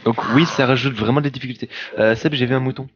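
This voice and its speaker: A man's voice: mid-range voice, fairly even intonation, quick pace, lo-fi sound, crowd noise. A young man teasing his friend and stumbling over his words in a casual gaming chat. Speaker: co-host, fellow player.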